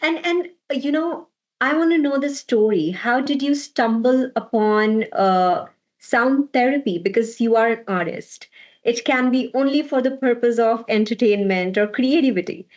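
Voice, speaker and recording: A woman's voice: a little high, moderate pace, a little mellow, lo-fi sound. A woman hosting a podcast interview, asking the guest curious questions. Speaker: podcast host.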